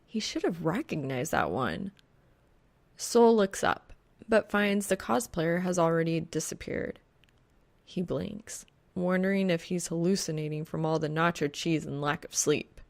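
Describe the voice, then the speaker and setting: A woman narrates a fan fiction audiobook from a script. Her voice sits a little low for a woman and carries a clear creak as she reads the story. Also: unhurried.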